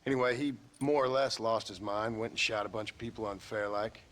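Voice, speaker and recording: An actor playing a blunt, weary former outlaw in a video game, speaking tersely with a rural American Western accent. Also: male voice, fairly low pitch, fairly even intonation, a little fast, fairly bright tone, natural voice. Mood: weary, reflective. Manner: terse, plain-spoken. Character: world-weary male ex-outlaw, blunt and stubborn. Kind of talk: video game cutscene acting.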